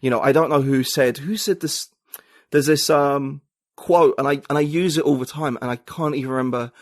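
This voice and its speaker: A man speaking as a podcast guest, musing and thinking out loud with plenty of fillers. His voice sits at an ordinary middle pitch. The recording is close and dry, with no room sound. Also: normal speed, dry recording. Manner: reflective, thinking aloud with many fillers. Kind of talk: casual interview conversation.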